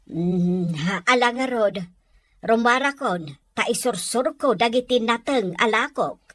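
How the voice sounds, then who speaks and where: A woman's voice: a little high, unhurried, fairly bright tone, dry recording. A woman with a Filipino Ilocano accent playing a caring, concerned middle-aged village mother in an audio drama. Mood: insistent.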